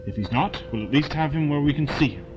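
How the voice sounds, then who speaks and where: A man's voice: a little high, expressive, quick pace, noisy background, lightly acted. A man playing a stern, suspicious army colonel in an audio drama, clipped and commanding. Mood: brisk, commanding. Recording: dull lo-fi with loud music behind.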